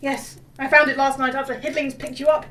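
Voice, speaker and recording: A woman playing a troubled, cursing gentleman heir in a melodramatic gothic comedy. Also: high voice, little pitch movement, a little fast, a little hiss or hum. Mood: grim, weary. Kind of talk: melodramatic comic gothic acting. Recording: distant, echoey hall.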